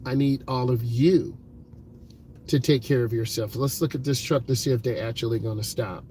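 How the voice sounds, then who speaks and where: A man's voice: medium pitch, fairly even intonation, normal speed, warm tone, slight background noise. A man working as a driving instructor, talking to the camera from inside a car in an earnest, motivational way, with many fillers and repeated points.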